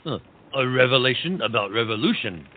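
A man's voice: medium pitch, animated, brisk, natural voice. Exuberant French marquis played by a man, theatrical, rousing period-comedy delivery; narrow phone-band sound with noise. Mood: coaxing. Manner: grand, rousing, peppered with French phrases. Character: exuberant, idealistic French marquis urging his friend on. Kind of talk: theatrical comic period audio drama. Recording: tinny phone-like line, clear background noise.

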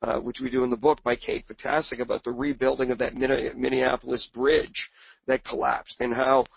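Man, hoarse from a cold, gravelly and husky, dull muffled webinar audio, rambling presenter. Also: mid-range voice, flat delivery, moderate pace, no room sound. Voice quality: raspy. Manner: rambling, repeats words while searching. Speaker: book co-author presenting on a webinar.

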